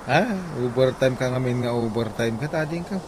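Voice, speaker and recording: A man's voice: mid-range voice, normal speed, noisy background, lightly acted. A man playing a teasing elder brother type in a radio drama.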